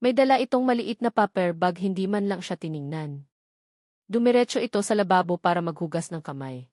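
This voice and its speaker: A woman's voice: on the low side, expressive, a little fast, darkish tone, no room sound, clean studio sound. A woman with a Filipino accent narrating a scripted dramatic story in an emotive storytelling manner.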